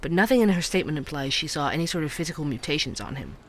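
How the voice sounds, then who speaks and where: An actor playing a thoughtful, inquisitive true-crime podcast host, narrating a case methodically. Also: male voice, fairly high pitch, animated, rapid-fire, a bit bright, slight background noise. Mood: focused, explanatory. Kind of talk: scripted investigative podcast narration.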